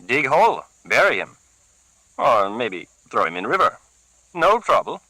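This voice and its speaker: Man voicing a keen, simple-minded Puerto Rican heavy, pitched up and bright, with broken English and a Puerto Rican Spanish accent. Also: big pitch swings, unhurried, no echo, exaggerated voice, rough recording. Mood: eager. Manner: eager, pushy, broken English. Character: eager, dim Puerto Rican young thug.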